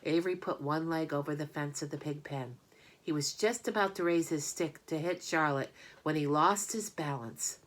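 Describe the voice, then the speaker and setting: A woman with a low voice reads a children's storybook aloud. Her delivery is scripted narration with plenty of expression. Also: normal speed.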